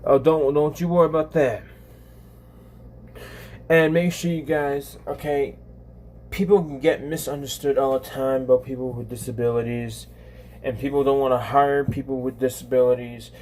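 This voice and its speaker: Halting young man chatting on a livestream. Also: mid-range voice, fairly even intonation, a little mellow, a little hiss or hum. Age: 20s. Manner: halting, repetitive, often restarting phrases. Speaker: live streamer, channel host. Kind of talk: casual livestream chat with viewers.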